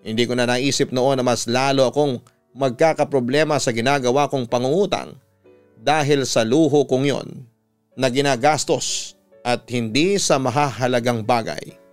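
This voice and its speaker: A man's voice: medium pitch, fairly even intonation, moderate pace, smooth. A Filipino man who works as a radio announcer, reading out a listener's story as dramatic scripted narration. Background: music behind the voice.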